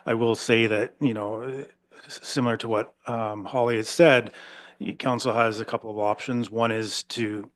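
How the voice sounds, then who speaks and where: A man's voice: fairly low pitch, flat delivery, normal speed, dry recording, clean studio sound. A man serving as the municipal chief executive, advising council by laying out options in an orderly way, speaking into a desk microphone in a council chamber.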